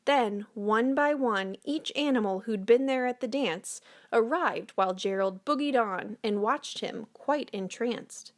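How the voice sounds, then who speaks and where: A woman storyteller narrating a children's picture book in a measured, expressive read-aloud manner. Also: on the high side.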